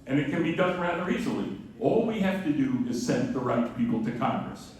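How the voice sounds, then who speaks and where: A man giving an emphatic public speech at a town hall with a loud, projecting voice, often posing rhetorical questions. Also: medium pitch, animated, a little fast. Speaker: guest speaker from an advocacy group. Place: large echoey room at a public meeting. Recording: echoey hall, distant mic, faint noise.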